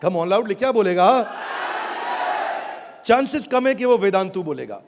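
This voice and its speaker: A man giving an energetic motivational speech to a live audience, shouting at times and calling on the crowd. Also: high-pitched, measured pace. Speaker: business speaker at a product launch. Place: large hall with an audience. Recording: echoey hall, crowd and noise behind.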